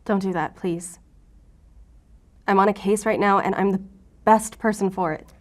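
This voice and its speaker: Actress doing a stubborn lady agent in an audio drama, sarcastic and guarded, quick to push back. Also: mid-range voice, fairly even intonation, lightly acted. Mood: challenging. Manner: sarcastic, defensive. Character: defiant, guarded, wisecracking female law-enforcement agent.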